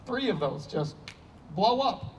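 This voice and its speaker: A man's voice: sits high, sing-song, slow pace, exaggerated voice. A man in his thirties in a staged play reading, playing a troubled, apologetic, self-doubting heavy drinker trying to stay sober. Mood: wry, apologetic. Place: stage before a painted block wall. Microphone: handheld microphone. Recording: distant stage pickup with ambient noise.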